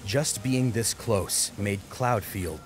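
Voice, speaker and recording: Casting: male audiobook narrator, low and lively, dramatic scripted delivery over a music bed. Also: takes it slowly.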